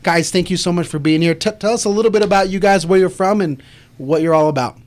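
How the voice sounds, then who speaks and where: A man's voice: high-pitched, quick pace. A man hosting a casual interview podcast, welcoming and questioning guests in informal speech.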